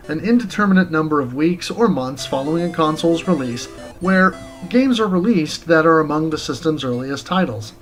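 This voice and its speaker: A man hosting a show, reading a scripted video game review as narration. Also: fairly high pitch.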